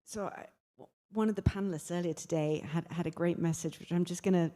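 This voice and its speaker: A woman's voice: on the low side, fairly even intonation, fairly quick, warm tone, long reverb tail. A woman giving earnest panel remarks, often repeating words as she starts her phrases.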